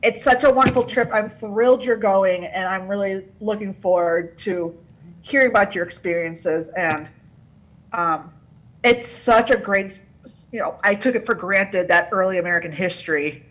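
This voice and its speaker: A woman's voice: on the low side, poor audio. A woman from Connecticut with a Boston accent, a board member speaking with effusive enthusiasm.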